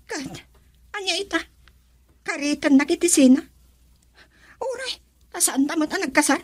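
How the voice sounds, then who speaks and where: An actress with an Ilocano Filipino accent playing a suspicious, upset wife in a radio drama. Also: female voice, high-pitched, expressive, moderate pace, no echo, studio quality. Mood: accusing, upset. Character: suspicious, upset wife confronting her husband.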